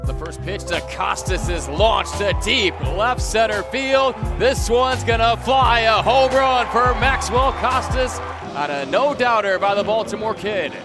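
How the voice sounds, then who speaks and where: A play-by-play announcer calling a home run with rising, shouting excitement. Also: male voice, high-pitched, measured pace, music in the background.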